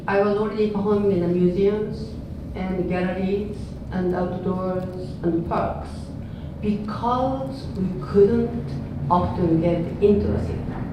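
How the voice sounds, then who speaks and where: Older woman, Japanese accent, earnest and unhurried. Distant, heavy reverb, noisy. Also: mid-range voice. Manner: earnest, unhurried, frequent laughs, non-native phrasing. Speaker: dance artist giving a talk. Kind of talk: informal public talk. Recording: echoey hall, speaker off-mic, audible noise.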